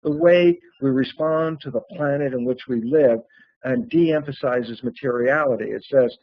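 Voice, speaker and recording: A man giving an animated popular-science talk in a conversational, emphatic, colloquial way, posing questions and answering them himself. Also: mid-range voice, moderate pace, no echo, highs cut off. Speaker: author and lecturer.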